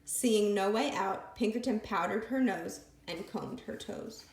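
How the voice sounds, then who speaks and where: A woman reading a picture book aloud with expressive, lively storytelling. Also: mid-range voice, a little slow, gravelly, a little hiss or hum. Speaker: storybook reader. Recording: echoey room.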